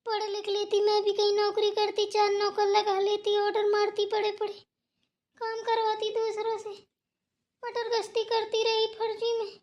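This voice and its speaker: An extremely high-pitched young housewife from a family cartoon, acted by a woman. She whines and grumbles about chores in melodramatic, rustic phrasing. She sounds put-upon and sorry for herself. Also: completely flat delivery, normal speed, darkish tone, muffled recording. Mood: self-pitying. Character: whining, work-shy young daughter-in-law in a family cartoon. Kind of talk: cartoon family drama dialogue.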